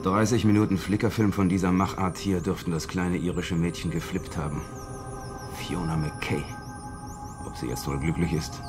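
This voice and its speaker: An actor playing a matter-of-fact secret service investigator briefing a colleague in a radio play, in a dry, clipped manner. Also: male voice, low-pitched, fairly even intonation, normal speed, music in the background, close to an everyday voice. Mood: wry, brooding. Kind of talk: 1970s German radio play dialogue.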